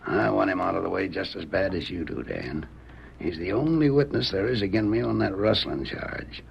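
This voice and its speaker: An actor playing a ruthless scheming outlaw in a 1930s radio drama, gruff and menacing. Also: male voice, sits low, animated, normal speed, heavily acted. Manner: gruff, menacing, folksy western drawl. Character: ruthless scheming male outlaw, jailed and gun-ready. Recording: muffled, noisy old radio recording.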